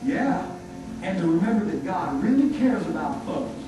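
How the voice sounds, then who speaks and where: A woman's voice: on the low side, big pitch swings, normal speed. A woman voicing an eager, simple country boy with a rustic, naive manner in a puppet show. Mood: earnest. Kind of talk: scripted church puppet show dialogue. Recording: echoey room, far mic, faint music.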